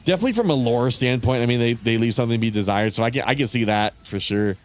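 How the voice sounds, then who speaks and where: A man's voice: mid-range voice, racing. A man streaming a game and chatting casually, sounding congested and nasal, rambling with frequent swearing. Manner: rambling, swears often, laughs between thoughts. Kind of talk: casual chat while livestreaming a game.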